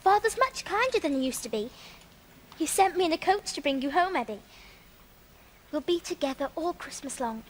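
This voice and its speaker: An actress playing a delicate young sister in a musical radio drama, affectionate and eager. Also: female voice, sits high, expressive, normal speed, long pauses, a little hiss or hum.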